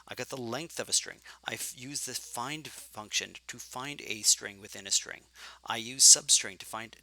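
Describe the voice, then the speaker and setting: Gravelly, bright-toned man lecturing on code. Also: medium pitch, low-quality recording. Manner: thinking aloud, self-correcting. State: getting hoarse. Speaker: programming lecturer. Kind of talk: live coding lecture.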